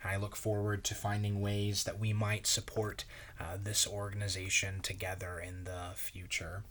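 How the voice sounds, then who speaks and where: A man, a pastor, reading a children's story aloud in a measured, expressive way. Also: on the low side.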